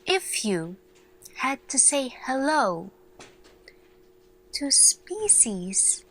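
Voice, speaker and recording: Very slow-speaking woman, earnest scientist roleplay. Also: on the high side, low-quality recording. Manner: deliberate, dramatic pauses between short phrases. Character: earnest female lead scientist addressing her team. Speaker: ASMR roleplay performer. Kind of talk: slow ASMR roleplay monologue.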